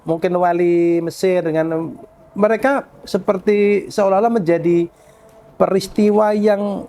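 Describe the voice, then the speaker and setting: A male podcast host speaks in a fairly high voice with a lot of fry. He leads a casual religious discussion, putting questions informally and looking for agreement as he goes. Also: steady tone, a little slow, warm tone.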